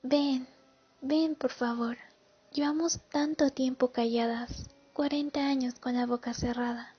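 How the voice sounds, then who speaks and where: Muffled, with faint music, a woman acts out a haunted older female war veteran in a radio play. Her high, husky voice gives testimony in a confessional, pleading manner. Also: fairly even intonation, measured pace.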